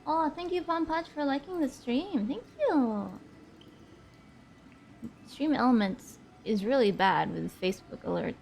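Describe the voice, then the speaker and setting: A woman's voice: high voice, animated, measured pace, vocal fry, a little mellow, a little hiss or hum. A woman livestreaming a video game, giving casual, murmured reactions in short fragments. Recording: game music behind the voice. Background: video game music.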